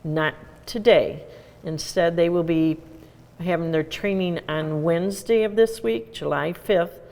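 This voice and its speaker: A woman hosting a live radio show, reading community announcements in a relaxed, unscripted way with many fillers. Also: deep voice, gravelly, in a large hall. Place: community hall.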